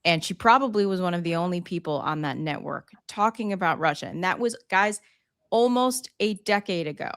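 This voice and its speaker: A woman's voice: fairly low pitch, animated, fairly quick, a bit bright, smooth. A woman chats casually as a co-host of a political show. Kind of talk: casual chat.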